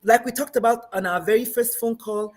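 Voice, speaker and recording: A middle-aged woman with a Nigerian accent, a guest in a discussion who speaks in an animated, emphatic way. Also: on the high side, fairly quick. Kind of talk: casual discussion.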